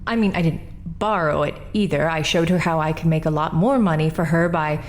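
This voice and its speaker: A woman's voice: deep voice, brisk, slight background noise, natural voice. A woman playing brash, defiant Ms. Ross. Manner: brash, breezy, defensive, slangy. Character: brash, defensive young woman, Ms. Ross, on trial. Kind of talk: radio drama acting.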